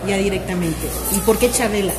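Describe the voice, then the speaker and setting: A woman's voice: mid-range voice, a little fast, roomy sound, loud music behind the voice. A woman host interviewing a guest, encouraging and effusive. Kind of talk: interview questions.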